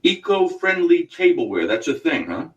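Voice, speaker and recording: A man with a New York accent hosting a casual radio interview, halting with many fillers and restarts. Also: fairly high pitch, big pitch swings, recorded from a distance, muffled recording. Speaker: radio host.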